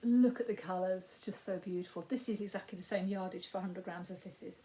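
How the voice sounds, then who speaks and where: A woman in her fifties with a Yorkshire accent and a croaky voice, giving a rambling, casual crafting update with frequent ums. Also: medium pitch, fairly even intonation, a little fast, mellow. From Yorkshire, England. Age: 50s. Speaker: crafting podcast host.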